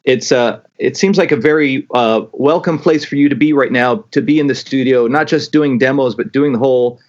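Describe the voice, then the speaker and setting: A man's voice: mid-range voice, normal speed, darkish tone. Podcast host guy, eager, keeps restarting his sentences, muffled sound. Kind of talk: casual interview talk. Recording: dull lo-fi sound.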